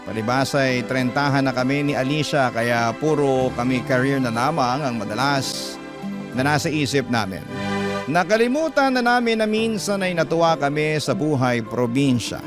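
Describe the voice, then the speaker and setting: A Filipino-accented man narrates like a classic radio drama host. His pitch is mid-range and his delivery is measured and sentimental. Loud music runs underneath the whole narration. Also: expressive.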